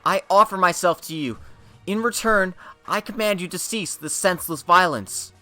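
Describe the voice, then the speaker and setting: A man's voice: high-pitched, moderate pace, sharp tone, faint music behind, pitched above the performer's own voice. A man voicing a dignified young princess with formal, regal, commanding phrasing.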